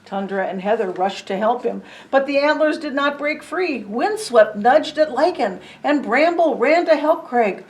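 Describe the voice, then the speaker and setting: A woman reading a children's story aloud in an expressive, dramatic storytelling manner. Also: sits high, a little slow, hardly any pauses, rough recording. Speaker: storybook reader. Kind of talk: children's story read-aloud with chatty asides.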